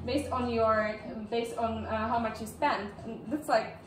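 Brief: young woman speaker, high voice, chatty with fillers, recorded from afar in a roomy hall. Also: steady tone, measured pace, music in the background. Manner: chatty, laughs often, many fillers. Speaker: speaker at a meetup. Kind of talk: casual presentation talk. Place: large indoor room with projector screen. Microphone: far camera, no visible mic. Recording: echoey room audio from far off.

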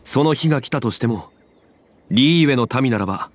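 An actor playing a calm, dignified immortal former god in a fantasy game, speaking in a composed and formal way. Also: male voice, mid-range voice, brisk, a little hiss or hum, close to an everyday voice. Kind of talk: Japanese game voice acting, dramatic dialogue.

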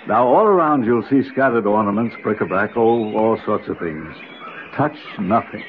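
Actor as animated, earnest archaeologist uncle. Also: male voice, fairly low pitch, normal speed, lightly acted. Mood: earnest warning. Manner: hesitant, explanatory. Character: cautious, kindly older archaeologist uncle, learned and earnest. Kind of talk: old radio drama acting. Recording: noisy, narrowband old radio recording.

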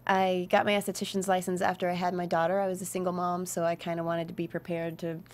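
Chatty woman guest with a lower voice. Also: completely flat delivery, fast, darkish tone. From Tennessee, US South. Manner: chatty, laughing, self-deprecating. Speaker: guest actress. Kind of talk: casual studio chat. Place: talk show studio. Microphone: studio mic with headphones.

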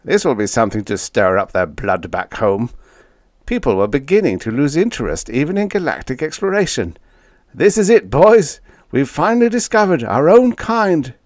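A man voicing an excited male space explorer in a science fiction story, loud and exclamatory. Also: medium pitch, fairly quick, no echo, clean studio sound, natural voice.

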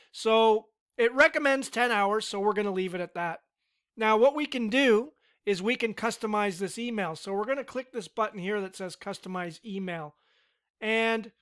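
A man giving a relaxed, step-by-step screen-recorded software tutorial with casual fillers. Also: high-pitched, clean tone. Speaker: tutorial instructor.

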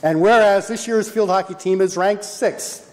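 A man's voice: high-pitched, darkish tone. Older man reading aloud in an echoey hall. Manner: halting, self-correcting, chuckles at his own slips. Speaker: mayor. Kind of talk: reading a proclamation at a council meeting. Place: large formal council chamber, indoors. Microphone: handheld mic, then unamplified, camera across the room. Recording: echoey hall, distant camera.

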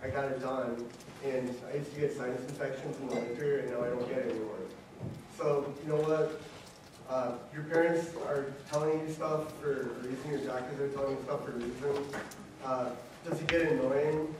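A man's voice: mid-range voice, steady tone, moderate pace. A man in his twenties sitting on a panel, giving earnest advice in a casual way with lots of fillers. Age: 20s. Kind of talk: casual personal account. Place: large meeting room, indoors. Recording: very echoey room, distant mic, noisy.

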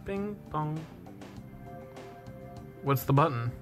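A man playing a video game and riffing with a co-host, improvising silly gravelly character voices. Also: fairly high pitch, animated, drawn-out words, soft background music. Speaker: game player. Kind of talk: comedic let's play banter.

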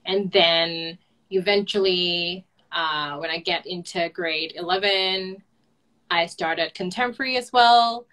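Bright-voiced young woman with a Hong Kong accent. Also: fairly low pitch, takes it slowly. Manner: soft-spoken, light laughs, simple phrasing. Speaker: interview guest. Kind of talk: personal story in a video call. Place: home room, indoors. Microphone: video call webcam.

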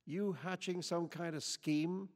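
A man's voice: fairly high pitch, fairly even intonation, slow, long reverb tail, voice pushed up. A man reading aloud and voicing a hostile, accusing young American woman who confronts strangers at a campground, with drawling slang.

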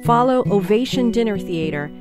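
A woman serves as narrator and announcer, reading from a script at a medium pitch. Guitar music plays clearly behind her. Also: animated, normal speed.

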